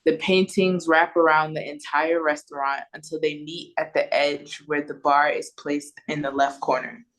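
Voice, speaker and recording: A woman's voice: low voice, fairly even intonation, moderate pace, rough recording. A woman with an American accent reading stage directions as narrator at an audio play read-through. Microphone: video call.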